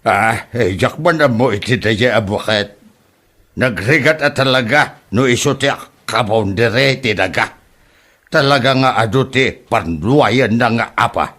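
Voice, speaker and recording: A man's voice: medium pitch, measured pace, fairly bright tone. A man acting an aggrieved older village father with an Ilocano accent in a comedy drama, protesting hesitantly. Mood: weary explaining. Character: aggrieved older village father accused over a land boundary.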